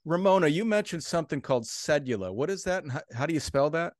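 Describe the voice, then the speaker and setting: A man's voice: a little high, expressive, fast, no echo, studio quality. A man hosting a casual interview over a video call, asking questions in a friendly, encouraging way. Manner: friendly, short encouraging reactions. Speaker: host. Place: home room, indoors. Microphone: video call webcam.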